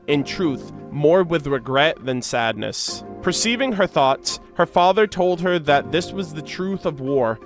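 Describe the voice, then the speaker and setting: A man with a somewhat high voice reads game lore with expression and adds casual, slangy reactions. He comes across as an enthusiastic video creator, with music playing clearly behind him. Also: a bit bright.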